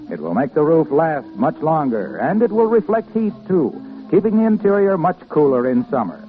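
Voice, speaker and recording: Male 1950s radio announcer; polished sales read, highish animated voice, for a scripted sponsor spot. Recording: tinny 1950s radio recording, music behind. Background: music.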